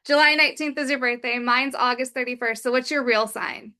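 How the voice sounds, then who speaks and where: A woman hosting a podcast, chatty and asking quick, friendly questions. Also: sits high, steady tone, sharp tone. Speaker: podcast host. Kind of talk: casual interview chat.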